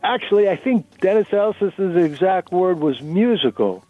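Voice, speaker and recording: A man acts out an earnest, uneasy man from a novel, full of questions for a new acquaintance. His voice is on the high side, with a dry, close sound. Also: expressive, moderate pace, no echo, narrow, tinny audio, soft background music. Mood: friendly, curious. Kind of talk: dramatic reading of novel dialogue.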